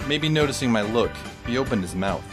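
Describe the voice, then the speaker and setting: A man's voice: mid-range voice, animated, fairly quick, over music. A man narrating a story in the first person with a dry, sardonic manner.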